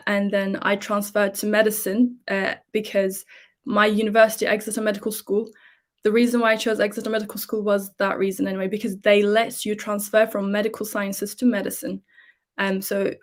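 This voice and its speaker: A woman in her twenties from Turkey, a medical student explaining her path in a casual interview with many fillers. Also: medium pitch.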